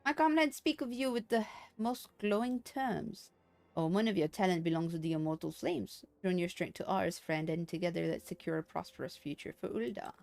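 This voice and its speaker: A woman voicing a courtly, flattering military recruiting officer from a fantasy game, formal and earnest. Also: fairly low pitch, brisk, vocal fry, dry recording. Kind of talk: video game dialogue read aloud. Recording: game music behind the voice.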